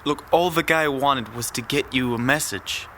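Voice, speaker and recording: A man's voice: medium pitch, moderate pace, a bit bright, slight background noise, lightly acted. An actor playing a young male petty thug in an audio drama, defensive, pleading and evasive. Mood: nervous, defensive.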